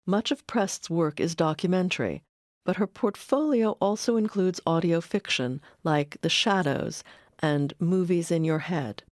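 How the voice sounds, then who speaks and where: A woman's voice: on the low side, unhurried, darkish tone. A woman reading scripted documentary narration as a voice-over.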